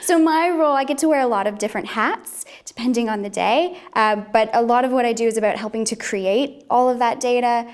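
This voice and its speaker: A woman's voice: on the high side, fairly quick, few pauses. A woman in her thirties, a scientist, talking casually as an interview guest.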